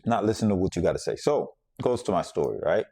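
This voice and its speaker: A man's voice: low-pitched, very animated, fast talker, gravelly, mellow, husky, no echo. A man from the Bahamas hosting a podcast, telling a personal story in a reflective, conversational way and circling back to repeat his points. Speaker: podcast host.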